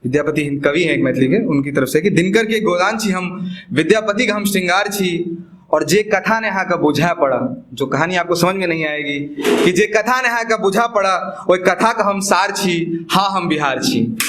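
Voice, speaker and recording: Bihari guy doing live poetry, kinda high voice, emphatic, some noise in the background. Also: moderate pace, fairly bright tone. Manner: emphatic, repeats lines for effect. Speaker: poet performing own poems. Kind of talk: live spoken-word poetry recital with chatty asides.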